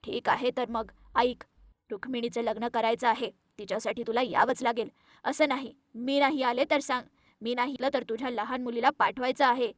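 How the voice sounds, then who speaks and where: A woman plays an old village grandmother in an animated moral tale. Her voice sits fairly high and sounds close and dry. She is caring but firm, quick to take relatives to task. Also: dry recording, natural voice. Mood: stern, scolding. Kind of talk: animated moral story dialogue.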